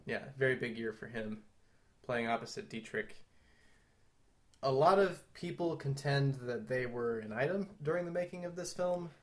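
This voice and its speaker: Guy cohosting a film history podcast, medium pitch, chatty but explains things carefully and thoughtfully. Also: animated, normal speed. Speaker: podcast co-host. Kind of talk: conversational film history discussion.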